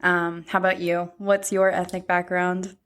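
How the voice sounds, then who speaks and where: A woman in her thirties hosting a podcast, chatting in a reflective, halting way. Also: on the low side, level tone, a bit bright. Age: 30s. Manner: reflective, speaks in short halting phrases. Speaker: podcast host. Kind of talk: casual chat.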